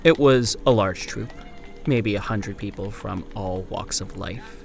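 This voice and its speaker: A man playing an amnesiac old-time detective, narrating a grim first-person account in a horror audio drama in a measured, solemn way. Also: lowish voice, vocal fry, faint music behind. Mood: haunted, solemn. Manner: measured, solemn, literary delivery. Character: amnesiac former Pinkerton detective writing a grim final account.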